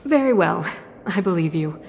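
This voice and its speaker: Regal city ruler for a game: slightly low, formal, guarded, long reverb. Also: female voice, lively intonation, normal speed, vocal fry, darkish tone, a little hiss or hum. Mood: gracious, formal. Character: dignified, guarded adult female ruler of a city. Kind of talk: English video game dub acting.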